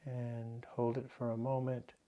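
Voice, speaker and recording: A man guiding a meditation as a teacher, giving gentle, unhurried instructions with pauses. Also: mid-range voice, monotone, muffled recording. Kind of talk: guided meditation.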